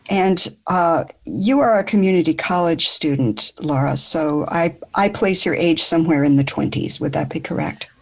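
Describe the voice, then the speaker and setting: Woman, sixties, lowish pitch, podcast host, measured, articulate, moderating. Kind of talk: moderating a group discussion.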